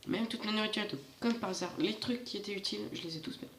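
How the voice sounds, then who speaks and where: A man's voice: high-pitched, fast, a bit bright, roomy sound, slight background noise. A man giving casual, rambling let's-play commentary straight to his viewers, with a stuffy nose from hay fever. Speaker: gaming channel host.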